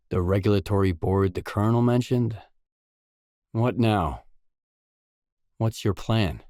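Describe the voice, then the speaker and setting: A man's voice: deep voice, mellow, no echo, studio quality. A man voicing a wary, blunt male loner in a video game, speaking in terse, matter-of-fact lines and questions.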